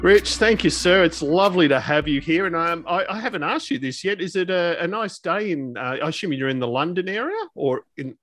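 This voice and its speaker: A man's voice: a little high, lively intonation, a little fast, few pauses, a bit bright, no echo. A man hosting a podcast interview in a chatty, conversational way with somewhat hesitant phrasing. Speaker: podcast host.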